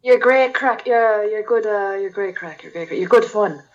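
A woman's voice: medium pitch, normal speed. Woman guest chatting easy on a podcast, swears casually, muffled lo-fi audio. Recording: dull lo-fi sound.

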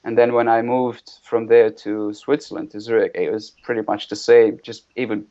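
A man's voice: on the low side, little pitch movement, normal speed, mellow. A man from Bosnia, a guest concept artist, talking in a casual interview with many restarts and repeated words. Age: middle-aged. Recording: lo-fi, muffled audio.

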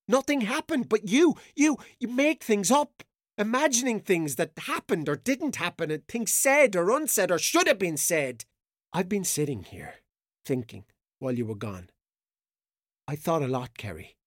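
A man's voice: high-pitched, dry recording, clean studio sound, exaggerated voice. A man playing a frightened captive in a radio drama, with an agitated, defensive, pleading delivery. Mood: coaxing, wary.

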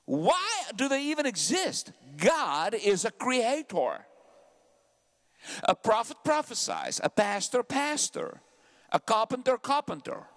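A South African man preaching a sermon to his church, dramatic, dropping to hushed asides and then climbing loudly. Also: very high voice, big pitch swings, slow pace. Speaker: pastor.

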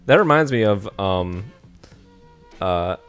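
A man's voice: fairly low pitch, animated, takes it slowly, fairly bright tone, faint music behind. A young man in his twenties chatting casually on a podcast, hesitant, full of likes and ums, often laughing. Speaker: guest pitching a show idea.

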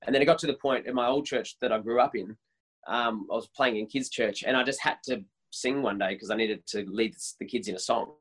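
A man in his thirties, a worship leader, talks about his work in a casual interview. His voice sits on the higher side, and he speaks with eager energy, tripping over and restarting his sentences. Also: fairly quick, fairly bright tone, slightly rough, rough recording. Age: 30s. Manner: enthusiastic, many restarts and repeated words.

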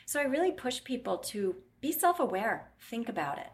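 A woman's voice: fairly high pitch, big pitch swings, normal speed. A woman voice clinician answering interview questions bluntly, explaining at length and acting out what her patients say.

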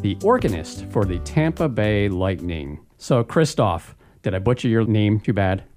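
A man's voice: fairly low pitch, sing-song, a little slow, music in the background. A man, a deacon, hosting a faith radio interview with a jokey, friendly way of asking questions.

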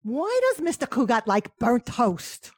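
A woman's voice: fairly high pitch, sing-song, unhurried, slightly rough, no room sound, clean studio sound, heavily acted. A woman playing a chatty, good-humored household maid in a radio sitcom. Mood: cheerful, deferential. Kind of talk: old-time radio sitcom acting.

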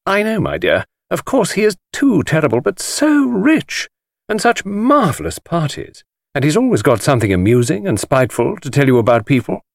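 A man's voice: fairly high pitch, huge pitch swings, moderate pace, vocal fry, no room sound, studio quality, voice pushed up, full character voice. A man voicing gushing, gossipy upper-class English society women in an audiobook, with an affected drawl. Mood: gossipy, thrilled. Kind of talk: audiobook character voice.

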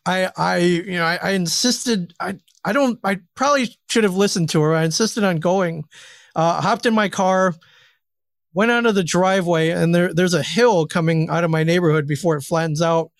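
American guy, pretty high voice, laid back hesitant storytelling as a guest, super dry recording. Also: normal speed, vocal fry, no room sound. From Michigan, United States. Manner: hesitant, self-deprecating, easygoing. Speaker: talk show guest. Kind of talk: casual chat, anecdotal storytelling.

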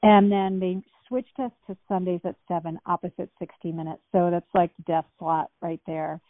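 A woman's voice: fairly low pitch, fairly even intonation, normal speed, no echo. Woman guest, chatty and rambling, dry close sound, thin phone-like audio. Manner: chatty, rambling, many fillers and restarts. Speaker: actress guest. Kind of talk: casual interview talk. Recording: tinny phone-like line.

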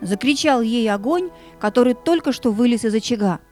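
A woman narrator reading a scripted fairy tale in an expressive, deliberate storytelling manner. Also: a little high, faint music behind, close to an everyday voice.

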